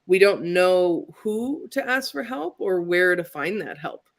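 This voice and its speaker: A woman's voice: on the low side, animated, a little slow. A woman hosting a livestream interview over a video call, speaking in a reflective, thoughtful way and asking open questions. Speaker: livestream host. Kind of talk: conversational interview.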